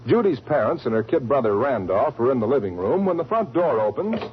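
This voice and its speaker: Animated male 1940s radio announcer. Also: medium pitch, fairly quick, slightly rough. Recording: dull 1940s radio recording.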